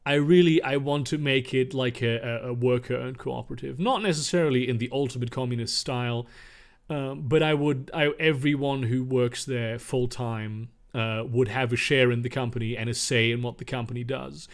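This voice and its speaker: A man's voice: mid-range voice, fairly even intonation, normal speed. German man rambling through a stream Q&A. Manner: rambling, profane, reads questions aloud then answers. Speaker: streamer answering viewer questions. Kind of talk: casual livestream Q&A.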